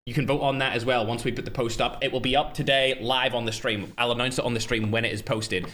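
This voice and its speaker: Brash man, bright voice. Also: mid-range voice, steady tone. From Northern Ireland. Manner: brash, fast-flowing, talks straight to chat, trash-talking. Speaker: livestream host and tournament organizer. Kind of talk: casual live-stream chat.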